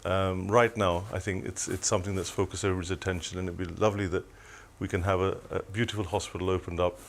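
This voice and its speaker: A man's voice: deep voice, flat delivery, fast talker, gravelly. An older man from Tanzania, a studio guest on a telethon, speaking reflectively with many restarts.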